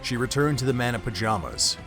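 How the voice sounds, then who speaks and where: Male narrator, medium pitch, dramatic storytelling, scripted audio drama, music underneath. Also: expressive, brisk, fairly bright tone.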